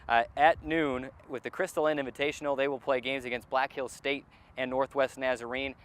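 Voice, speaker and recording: A man's voice: mid-range voice, level tone, brisk, a bit bright, clean tone. A man hosting a sports show in a conversational broadcast style, outdoors at a stadium.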